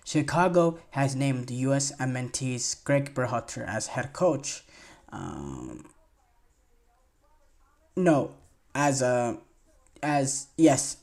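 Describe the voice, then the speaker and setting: A man's voice: mid-range voice, lively intonation, takes it slowly, pauses often. Rambling young man hosting a podcast. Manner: rambling, filler-heavy, enthusiastic praise. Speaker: podcast host. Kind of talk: casual solo sports podcast commentary.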